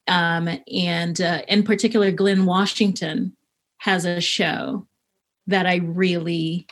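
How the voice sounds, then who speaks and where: A middle-aged woman from Haiti, a podcaster being interviewed, talking conversationally and with enthusiasm as she shares anecdotes. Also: fairly low pitch.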